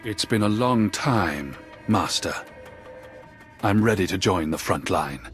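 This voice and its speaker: Deep-voiced loyal Sith warrior. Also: male voice, lively intonation, slow pace, soft background music. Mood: proud, loyal. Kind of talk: dramatic video game acting.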